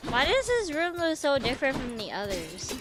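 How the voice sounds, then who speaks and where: A young woman with a Vietnamese American accent, chattering excitably with her chat while streaming a game, full of slang. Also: sits high, moderate pace. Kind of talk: casual gaming stream chatter. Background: game music.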